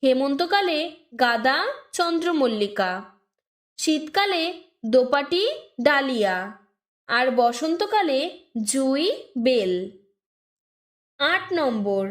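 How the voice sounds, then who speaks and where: A woman with a high-pitched voice reads out a school lesson very slowly. She breaks it into short, deliberate phrases, as if dictating to young pupils. The room around her is clearly echoey. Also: expressive. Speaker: teacher presenting textbook answers to young pupils. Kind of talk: scripted lesson read aloud, dictation-like.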